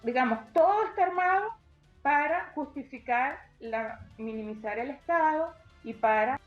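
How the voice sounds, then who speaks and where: A woman's voice: sits high, expressive, unhurried, echoey room, lo-fi sound, faint music behind. A woman giving insistent political commentary, posing rhetorical questions.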